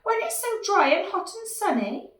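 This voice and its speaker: A woman's voice: extremely high pitch, sing-song, normal speed, a bit bright, pitched above the performer's own voice, exaggerated voice. A woman voicing a small, anxious young male dinosaur in a storybook, fretful and exclaiming. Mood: worried. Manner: worried, exclaiming. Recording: echoey room, distant microphone.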